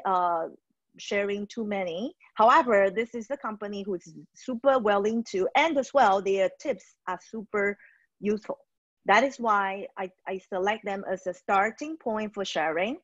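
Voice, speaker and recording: A woman from Taiwan speaks over a video call as an HR trainer in a webinar, pausing often with fillers and restarting her sentences. The sound is dry and close, with dull, muffled highs. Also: no echo. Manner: hesitant, many fillers and restarts. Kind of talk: webinar presentation.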